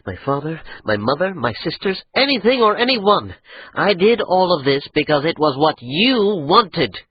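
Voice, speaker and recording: A proud, resentful young nobleman, as a man puts him on, drawing his words out slow and bitter. The voice is husky and moves up and down a lot. The recording sounds dull. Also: a little high, very animated, pitched above the performer's own voice. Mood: pleading.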